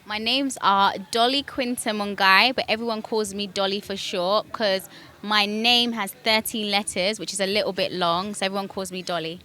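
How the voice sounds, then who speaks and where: A bright, clear young woman with a British accent talks through her own story in a relaxed interview. She is chatty and fills her pauses with ums, and she laughs easily. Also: medium pitch. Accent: British English. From Kenya. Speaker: guest.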